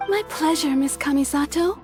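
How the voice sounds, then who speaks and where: A woman's voice: sits high, normal speed, over music, close to an everyday voice. A woman voicing a courteous, businesslike kimono shopkeeper in a video game, deferential and eager to serve. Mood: pleased. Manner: deferential, expressive. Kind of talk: video game character acting.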